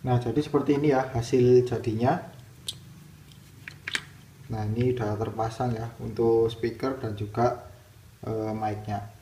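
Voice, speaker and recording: A man's voice: mid-range voice, steady tone, unhurried, long pauses, dark tone. Man in a roomy, noisy recording. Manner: explains step by step. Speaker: video host demonstrating product installation. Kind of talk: casual hands-on tutorial narration. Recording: roomy, distant mic, clear background noise.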